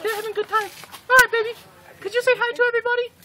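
An excitable, friendly puppet character pipes up in an extremely high voice, playfully quizzing children. The puppet show is recorded outdoors on a phone with loud chatter all around. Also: male voice, racing, recorded from a distance. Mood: excited playful. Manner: exclamatory, questioning, playful. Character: excitable friendly cartoonish puppet hosting a kids' party show. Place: outdoor park picnic table.

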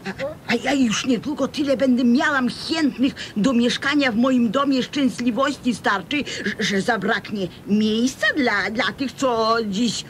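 A woman's voice: unhurried, few pauses, close to an everyday voice. An actress playing a pushy, huffy businesswoman in a radio comedy, bossy and dramatic, with a Podlasie accent from eastern Poland. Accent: eastern Polish, Podlasie regional. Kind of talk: radio comedy serial acting. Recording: rumbly, noisy radio recording.

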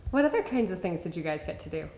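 A woman's voice: on the low side, sing-song, fast, darkish tone. A woman interviewing visiting students off camera, asking friendly, encouraging follow-up questions. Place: school library, off camera. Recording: roomy library echo.

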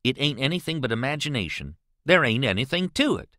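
A man's voice: mid-range voice, sing-song, brisk, sharp tone, dry recording, studio quality. A man voicing a scornful, argumentative adolescent boy, lecturing emphatically in an audiobook. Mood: smug, dismissive. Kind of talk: audiobook character voice.

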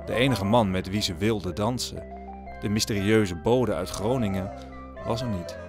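A man's voice: lowish voice, moderate pace. A man narrating a story in a scripted audio drama style. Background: music.

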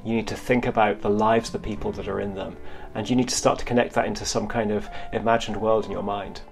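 A man's voice: on the low side, level tone, quick pace, gravelly, soft background music. A man giving explanatory interview answers as an academic historian, often repeating and rebuilding his phrases as he goes.

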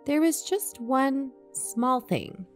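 A woman playing an earnest investigative podcast host, narrating in a composed, measured way. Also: high voice, animated, faint music behind. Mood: measured, descriptive. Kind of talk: scripted narration in audio drama.

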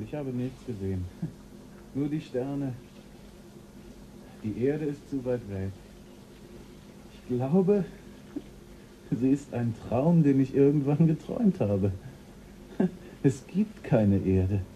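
An actor playing an astronaut crew member drifting into madness, talking dreamily and laughing to himself in a radio drama. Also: male voice, mid-range voice, animated, slow pace, pauses often, mellow, slight background noise. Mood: dreamy. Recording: dull lo-fi radio play recording.

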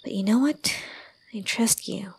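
A woman playing a gentle, doting young woman in an ASMR roleplay, soft and breathy, often near a whisper, soothing and lightly teasing. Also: mid-range voice, unhurried, rough recording. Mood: tender teasing. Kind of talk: ASMR roleplay, intimate one-sided dialogue.

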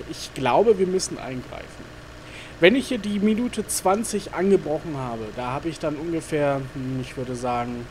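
Rambling gamer man, higher voice. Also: a little mellow, a little hiss or hum. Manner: rambling, many fillers, mutters to himself. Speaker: gaming YouTuber. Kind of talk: casual let's-play commentary.

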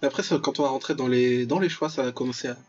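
A man's voice: medium pitch, fairly even intonation, fast talker. A man giving casual, joking gameplay commentary as the host of a gaming video. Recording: dull, lo-fi mic, music behind. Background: game music.

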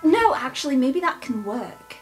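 A woman's voice: sits high, sing-song, moderate pace. Woman improvising casually with music behind. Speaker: tabletop game player. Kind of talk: casual improvised character brainstorming. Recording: music bed under the voice. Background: background music.

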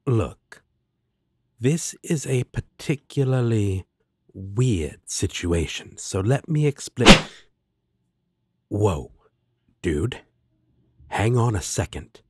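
A man's voice: lowish voice, animated, slow pace, long pauses. A man playing a laid-back, affectionate demon roommate in a fantasy audio roleplay, casual and playful with a sardonic edge. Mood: wary, exasperated.

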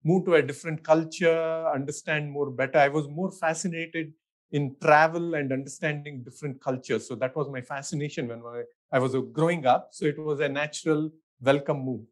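Middle-aged indian guy chatting easy as a podcast guest, voice a bit high. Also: steady tone, brisk. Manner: easygoing, thinks aloud with fillers and restarts, laughs often. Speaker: architect, guest. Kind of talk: casual interview answers.

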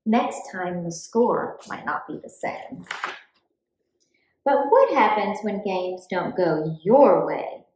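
A woman reading a children's book aloud in an expressive, deliberate storytime style for young children. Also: medium pitch, roomy sound, slight background noise, low-quality recording. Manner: clear, deliberate, animated delivery for young children.

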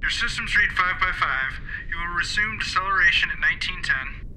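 A man playing a brisk, officious space flight control officer over a radio filter in an audio drama, clipped and formal. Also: sits high, background noise. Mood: brisk, official.

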